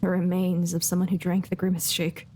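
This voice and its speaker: A woman's voice: on the low side, monotone, a little fast, mellow, a little hiss or hum. A woman giving casual, chatty live commentary as a game streamer, with playful asides. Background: faint game music.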